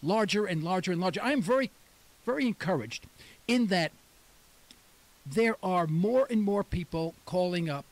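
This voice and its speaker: Lively, high-voiced man in his sixties. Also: moderate pace, pauses often, no echo. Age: 60s. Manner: emphatic, repeats words for stress. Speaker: radio talk show host. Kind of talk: talk radio monologue.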